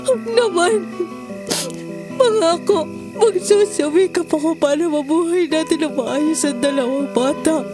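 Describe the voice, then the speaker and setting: Lady acting a pleading filipino wife in an audio drama, super high emotional voice, music behind her. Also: unhurried. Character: meek, pleading pregnant wife and mother. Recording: music bed under the voice.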